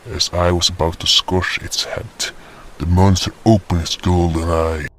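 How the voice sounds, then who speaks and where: A man playing a solemn hunter who narrates a fantasy tale of battle in a grave, breathy, deliberate way. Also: low-pitched, fairly even intonation, a little hiss or hum. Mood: grave, tense. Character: solemn hunter recounting a monster fight. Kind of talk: scripted dramatic fantasy story narration. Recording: music bed behind the voice.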